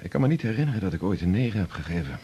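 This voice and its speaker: Gruff senior doctor, very deep, gravelly, lively, teasing. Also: male voice, racing, dull audio, full character voice. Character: senior male doctor, gruff but fond.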